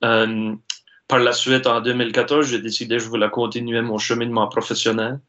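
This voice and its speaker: Acadian french guy in his 40s, pretty flat delivery, lots of pauses, muffled sound. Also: mid-range voice. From Baie-Sainte-Marie, Nova Scotia. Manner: earnest, many filler pauses. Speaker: guest, university sports director. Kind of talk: interview answers. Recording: dull lo-fi sound.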